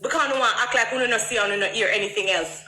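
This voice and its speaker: A woman's voice: on the high side, fast talker, sharp tone, roomy sound, rough recording. Jamaican woman firing pointed questions. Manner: pointed rhetorical questions, emphatic. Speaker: artist answering critics. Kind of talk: direct-to-camera monologue.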